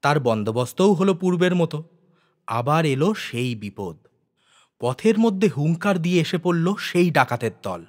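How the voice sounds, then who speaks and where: A man's voice: a little high, sing-song, normal speed, darkish tone. A man reading a literary story aloud as a dramatic audiobook narrator.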